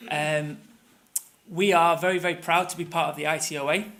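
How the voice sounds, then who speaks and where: A man's voice: on the high side, fairly even intonation, a little fast, pushed and bright. A middle-aged man with a Manchester accent giving a conversational conference presentation, with many fillers and restarts. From England. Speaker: tour operator presenter. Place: conference room.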